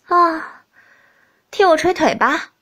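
A woman's voice: extremely high pitch, lively intonation, takes it slowly, fairly bright tone, pitched above the performer's own voice, exaggerated voice. A woman voicing a smug, languid young prince in a princess's body for an audiobook, drawling and teasing. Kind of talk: audiobook character dialogue.

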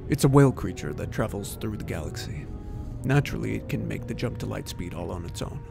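An actor playing an anxious, weary inventor on the run in an audio drama, halting and emotive. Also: male voice, fairly low pitch, animated, gravelly, over music. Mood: wistful.

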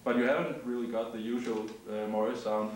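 A man's voice: medium pitch, fairly even intonation, brisk, slight background noise. Brief: young male interviewer, hesitant and filler-heavy, echoey room on a far camera mic. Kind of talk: casual interview questions. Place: cramped backstage room indoors.

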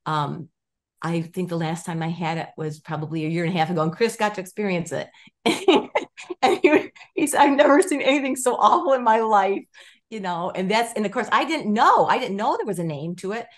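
A woman in her fifties, a guest on a video call, telling her story with lively, restarting sentences and acted-out quotes, gesturing as she talks. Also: medium pitch, a little fast. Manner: animated storytelling with many restarts and quoted voices. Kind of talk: casual interview chat. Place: home room with stone wall, indoors.

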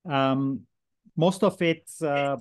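A man speaks as a panelist over a video call, slowly working out his answer. He fills gaps with hesitations and restarts his sentences. The sound is dry and close. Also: a little high, no room sound. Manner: halting, many fillers and restarts. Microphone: video call webcam.

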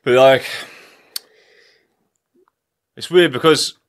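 A man's voice: medium pitch, moderate pace. A man, hosting a casual chat in a wood-panelled study and swearing freely as he rambles through stories. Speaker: podcast host.

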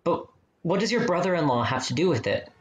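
An actor playing a gentle, supportive young man in a radio play, conversational and reassuring. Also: fairly high pitch, lively intonation, fairly quick, natural voice. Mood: attentive.

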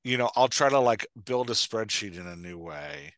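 An American man with a lowish, crisp-edged bright voice talks casually as a podcast host. He tells stories in an animated way, quoting his own thoughts, in a dry-sounding space. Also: moderate pace, no echo. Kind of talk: casual Q&A chat. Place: indoor room at home, on a video call. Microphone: headset microphone.

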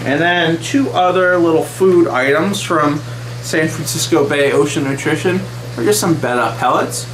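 A man's voice: a little high, moderate pace, fairly bright tone, roomy sound, slight background noise, low-quality recording. A man in his thirties hosting an aquarium vlog, chatting casually and enthusiastically with frequent fillers, recorded in a small wood-paneled room close to the camera. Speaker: aquarium channel host.

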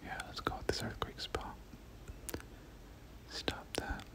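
Whispered ASMR gaming commentary. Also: slow. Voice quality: breathy whisper. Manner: repeats words and short phrases over and over. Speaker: gaming video host.

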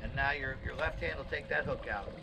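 A man's voice: medium pitch, fairly quick, vocal fry. A man on an open boat coaching an angler with urgent, bossy instructions and some cursing. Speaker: fishing guide. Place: open boat on the water outdoors. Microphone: action camera on the boat. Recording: loud wind and boat noise. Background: wind and water.

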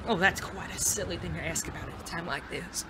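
A genteel gentleman bandit played by an actor in a Western audio drama. The speech is formal, polite and tender. There is clear background noise around the voice. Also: medium pitch, a little fast, lightly acted. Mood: anxious, tender. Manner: polite, formal, refined wording.

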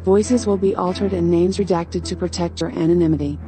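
A woman's voice: on the low side, fairly even intonation, fairly quick, over music. A woman reading scripted podcast credits as an announcer.

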